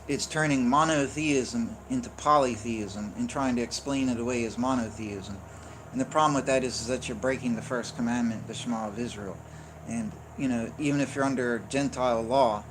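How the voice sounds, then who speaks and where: A man teaching in an unscripted, insistent way, explaining point by point and checking in with "right?" and "okay?". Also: mid-range voice. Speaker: religious teacher. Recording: noticeable background noise.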